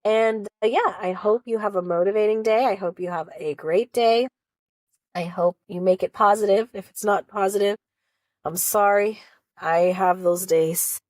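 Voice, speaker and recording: A woman's voice: medium pitch, moderate pace. A woman talks through a reality show episode in a loose, rambling way, with the odd sniffle from a cold. Her voice sits in a mid range. The sound is close and dry, with no room echo. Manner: rambling, chatty, warm encouragement. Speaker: reality show reaction vlogger. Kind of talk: casual recap vlog.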